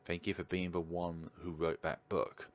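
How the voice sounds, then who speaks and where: A man's voice: deep voice, flat delivery, normal speed. A man hosting a let's play, reading visual novel text aloud and adding chatty asides. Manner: chatty asides between read-out narration. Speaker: gaming video host.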